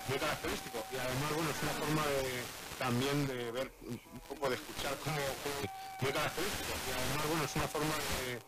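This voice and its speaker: A man's voice: mid-range voice, steady tone, fairly quick. A man explaining informally as a guest in a radio interview. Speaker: radio guest, shortwave expert. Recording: very noisy FM radio reception.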